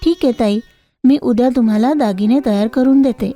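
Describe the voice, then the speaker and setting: A woman voicing a humble, devout female bangle maker, respectful and earnest, in an animated moral story. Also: fairly high pitch, brisk, faint music behind, lightly acted. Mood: agreeable.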